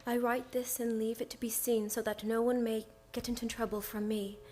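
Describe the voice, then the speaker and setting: An actress playing a frail, frightened, loving young gentlewoman in an old-time radio drama. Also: a little high, flat delivery, normal speed, close to an everyday voice. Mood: fearful, dreamy.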